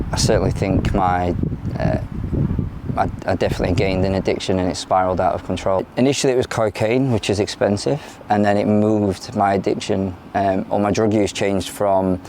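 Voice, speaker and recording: A man in his thirties with an English accent from London, born in Ireland, speaking frankly in a candid interview, often pausing and restarting his sentences. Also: deep voice, background noise. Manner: frank and plain-spoken, with frequent hesitations and restarts. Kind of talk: candid personal testimony.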